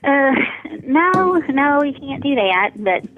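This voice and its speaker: Female receptionist, giggly and easygoing, slightly high expressive voice, heard through a tinny phone line. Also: extremely slow, background noise. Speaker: front desk receptionist. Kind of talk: phone conversation with a customer.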